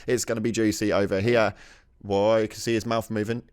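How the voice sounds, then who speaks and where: A man's voice: on the low side, level tone, fairly quick, a little mellow, clear, resonant tone, no room sound, studio quality. A man co-hosting a casual gaming podcast, bantering and laughing easily. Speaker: podcast co-host.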